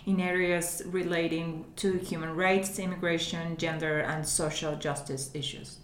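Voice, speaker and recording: A woman's voice: on the low side, little pitch movement, unhurried. Earnest Mexican woman. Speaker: podcast co-host, attorney. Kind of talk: podcast discussion. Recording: echoey room with faint noise.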